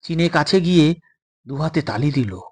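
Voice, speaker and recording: A man's voice: a little high, lively intonation, moderate pace, a little mellow, a little hiss or hum. A man reading a scripted suspense story as its narrator.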